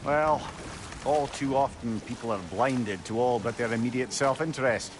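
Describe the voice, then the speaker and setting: An actor playing a wry, learned older companion in a video game, speaking in a wordy, conversational way. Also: male voice, mid-range voice, normal speed, background noise, lightly acted. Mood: grave, reflective.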